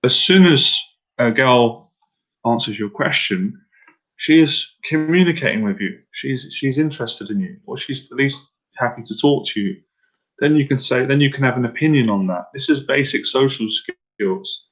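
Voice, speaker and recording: Male dating coach on a live stream: casual, direct, mid-pitched, a little muffled. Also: normal speed, fairly bright tone. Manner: casual and direct, repeats himself, asks viewers to answer in the chat.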